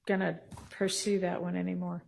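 A woman's voice: fairly low pitch, flat delivery, normal speed, vocal fry, darkish tone, a little hiss or hum. A woman judge speaking from the bench in a courtroom, plain-spoken and matter-of-fact in an informal way. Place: large courtroom.

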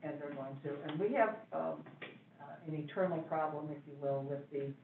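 A man giving a building report at a township board meeting, halting with many fillers and restarts. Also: fairly high pitch, vocal fry, a little hiss or hum. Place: meeting room. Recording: echoey room, far mic, phone-like band.

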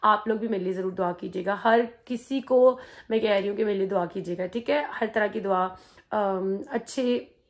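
A woman in her thirties, hoarse from a sore throat, chatting slowly and tiredly to her phone camera at home as a family vlogger. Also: medium pitch. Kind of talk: casual vlog chat to camera. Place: indoors at home. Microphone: phone held at arm's length.

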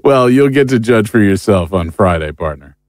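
A man's voice: lowish voice, expressive, moderate pace, a little mellow, no echo, clean studio sound, lightly acted. A man playing a blunt, informal private investigator, an ordinary world-weary guy, in a naturalistic audio drama. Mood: upbeat, celebratory. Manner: blunt, informal, matter-of-fact.

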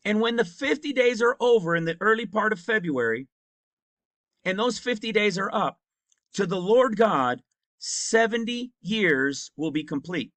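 A man in his fifties gives an emphatic Bible teaching over a screen share, often checking in with his listeners. His voice sits high and bright. The sound is dry and close. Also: animated, measured pace, no room sound. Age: 50s. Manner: emphatic, repeats points and asks "right?".

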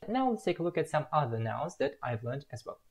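A young man from Russia, explaining the words he has learned slowly and carefully in a self-recorded lesson at home on a webcam. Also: a little high, very animated, darkish tone, slightly rough. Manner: slow, careful, drawn-out word-by-word explanation. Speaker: language learner presenting his progress.

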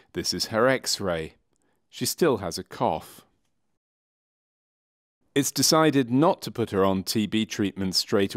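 A man narrating a medical training film from a script, in a measured, clinical and factual way. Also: fairly low pitch, no room sound. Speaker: documentary narrator.

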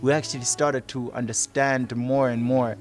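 A man's voice: mid-range voice, flat delivery, normal speed. A man in his twenties giving a reflective, explanatory account in a documentary interview as a project facilitator. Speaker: youth theatre project facilitator. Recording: music playing under the voice.

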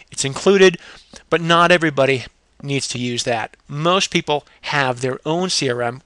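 A man presenting a product demo as a software salesman, with an emphatic, instructional, salesy way of talking. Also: mid-range voice, smooth, no echo. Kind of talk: screen-recorded product demo pitch. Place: indoors, voice-over on a computer screen recording.

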